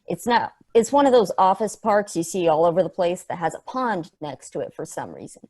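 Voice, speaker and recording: A woman's voice: fairly low pitch, sing-song, fairly quick, darkish tone. A woman playing a sardonic, passionate space probe in a table read, casual, rambling and sweary. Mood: wry, ranting. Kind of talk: conversational acting in a script table read.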